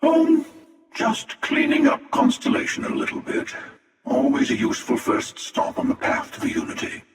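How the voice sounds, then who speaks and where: An actor playing a ruthless, world-weary, ancient hunter from space in a video game, taunting and sardonic in manner. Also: male voice, extremely high pitch, wildly swooping pitch, moderate pace, vocal fry, heavily acted. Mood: weary, matter-of-fact. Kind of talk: video game character acting. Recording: roomy, distant, long reverberant echo.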